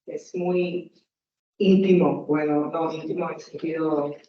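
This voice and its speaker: Slow, hesitant woman with a Slovak accent. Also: sits low, steady tone, a little hiss or hum. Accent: Slovak, with Portuguese traces. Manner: hesitant, searching for words in a non-native language. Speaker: guest speaker. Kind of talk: conference talk. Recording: echoey hall, distant mic, muffled.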